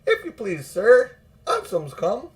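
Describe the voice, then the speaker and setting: A man voicing an excitable young housemaid in a storybook, polite and eager. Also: sits high, slow, voice pushed up, exaggerated voice. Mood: excited.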